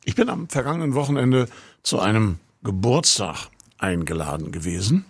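A low, gravelly male voice, somewhat husky, talks casually as a radio host, wandering from one thought to the next before introducing a song. He grew up in Rostock. The sound is close and dry. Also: sing-song, moderate pace, dry recording. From Rostock, Germany. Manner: chatty, meandering, introducing music.

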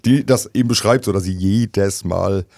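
A man co-hosting a casual discussion, talking quickly and restarting sentences often. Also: fairly low pitch, vocal fry, darkish tone, dry recording.

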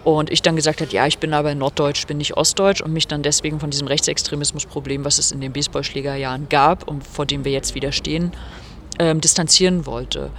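A woman's voice: sits low, fairly even intonation, a little fast, slight background noise. A woman from Rostock, an author giving earnest, explanatory answers in an interview, recorded at a venue before her reading. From Germany, Rostock.

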